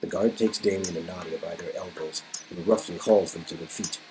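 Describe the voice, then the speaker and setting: Low-voiced male story narrator. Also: fairly even intonation, brisk, music in the background, poor audio.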